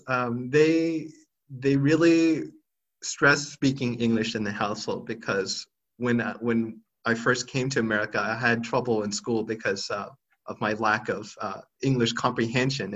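A man in his mid-thirties speaks at an average pitch, reflective and measured. He hesitates often, restarting phrases as he gathers his thoughts. The sound is slightly dull and lo-fi. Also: fairly bright tone. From Thailand. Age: 30s. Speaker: podcast guest. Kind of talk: reflective interview answers.

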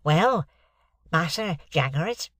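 A man voicing a slow-witted, nervous rough fellow in an audiobook, congested as if with a cold, hesitant. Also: fairly high pitch, expressive, a bit bright, no room sound, studio quality, voice pushed up, exaggerated voice. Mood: deferential. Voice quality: congested, thick with a head cold.

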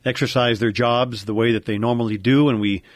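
An American man in his fifties with a medium-pitched voice, speaking as a talk show co-host. His delivery is conversational. The sound is dry, from a home studio. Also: fairly even intonation, a little fast, dry recording. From United States. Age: 50s.

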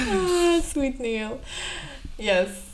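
Giggly young woman speaking slowly. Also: high voice, noisy background. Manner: giggly, teasing. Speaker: podcast co-host. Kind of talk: casual chat.

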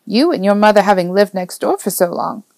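A woman voicing a kindly, absent-minded old woman in an audiobook, doting, exclamatory and gently scolding. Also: medium pitch, lively intonation, a little fast, creaky, no echo, studio quality. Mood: wistful, fond. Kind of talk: audiobook character dialogue.

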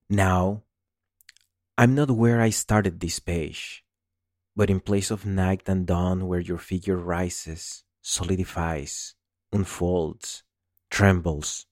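A man reading literary fiction aloud in a deliberate, solemn and dramatic manner. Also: deep voice, a little mellow, no room sound, clean studio sound. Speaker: story reader.

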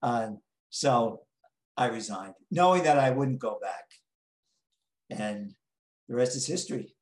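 A man in his late sixties with a New York accent, telling his story slowly as an interview guest, pausing on many ums. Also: medium pitch, animated, a little mellow, roomy sound. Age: 60s. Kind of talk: interview answers.

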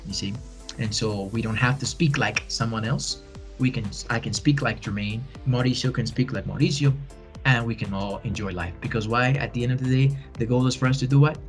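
A man's voice: on the low side, a little fast, faint music behind. Podcast guest, male, 40s, earnest and encouraging, repeats key phrases. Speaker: podcast guest, bilingual educator. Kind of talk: conversational interview answers.

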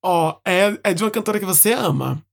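A man's voice: sits high, lively intonation, fairly quick, vocal fry. A man with a Brazilian accent hosting a casual chat, playfully humming garbled song lyrics for a guessing game and laughing often.

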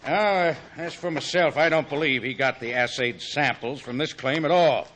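Give a crooked saloon boss of the Old West. His voice is somewhat high, with a sharp edge. He blusters and insinuates defensively, as villains do in old radio westerns. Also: normal speed. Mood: insinuating.

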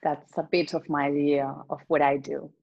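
A woman from Spain with a Spanish accent, a transformational coach co-hosting a casual conversation, reflective and quick to laugh. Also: low-pitched, flat delivery, gravelly, a little mellow, highs cut off. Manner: reflective, laughs often, restarts sentences.

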